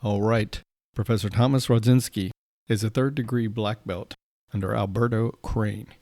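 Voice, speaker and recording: Man hosting a podcast, low voice, dry close sound, friendly scripted intro then chat. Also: fairly even intonation, moderate pace, mellow, dry recording. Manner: friendly, reads intro then chats with fillers. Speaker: podcast host.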